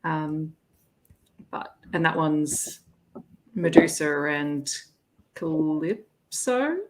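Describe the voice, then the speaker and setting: Low-voiced woman hosting a casual livestream. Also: animated, drawn-out words, rough recording. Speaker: host of an online readalong livestream. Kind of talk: casual livestream chat about romance books.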